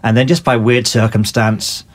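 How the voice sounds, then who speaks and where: A man's voice: medium pitch, steady tone, normal speed. Dry-humored English man, north-south mix accent. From England. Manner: dry, self-deprecating humor. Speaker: guest. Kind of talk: casual podcast chat.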